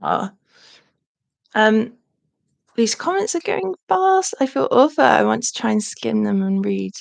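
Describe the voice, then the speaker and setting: A young woman hosting a casual livestream Q&A, with a croaky voice and a chatty manner full of ums. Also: fairly high pitch, expressive, measured pace. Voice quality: croaky, gravelly. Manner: chatty, warm thanks, frequent ums. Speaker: channel host.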